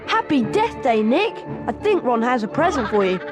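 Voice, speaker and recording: A chirpy British schoolboy in a video game. The eager voice is high and swings widely in pitch, with music playing behind it. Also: female voice, very animated, moderate pace.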